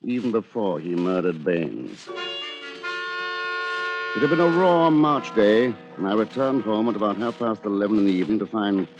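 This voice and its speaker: Resentful british lawyer in an old radio drama, dry delivery, lowish voice that moves around a lot, dull lo-fi audio. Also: male voice, expressive, moderate pace, over music. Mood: grim, narrating. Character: dry resentful bachelor lawyer, narrating and scheming. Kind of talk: 1940s radio acting.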